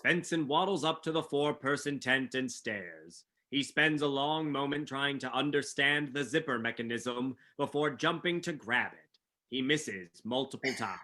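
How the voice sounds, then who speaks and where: A man reads scripted stage directions as narrator. His voice is mid-pitched, with a crisp, bright edge. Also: animated, a little slow.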